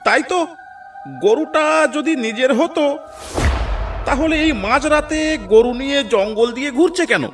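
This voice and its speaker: A man's voice: very high voice, expressive, moderate pace, pauses often, faint music behind. A man playing a shrewd village cattle thief in a cartoon. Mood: suspicious, eager. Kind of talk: Bengali cartoon villain acting.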